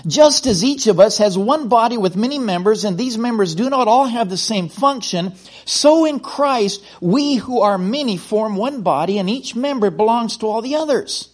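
Middle-aged American man preaching, high-pitched and husky, folksy delivery with frequent restarts. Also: lively intonation, moderate pace. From United States. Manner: folksy, repeats words and starts over. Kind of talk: sermon.

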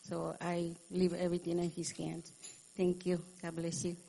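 A woman with a lowish voice tells her testimony at a church service. She talks haltingly, stops and restarts, and searches for her words. Her English has a second-language feel. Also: monotone, gravelly, very noisy. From USA. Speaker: church visitor giving testimony.

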